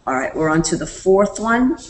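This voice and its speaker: A woman presenting a screen-recorded software tutorial, explaining each step in a relaxed way with fillers. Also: medium pitch, normal speed, a little hiss or hum, poor audio. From Canada.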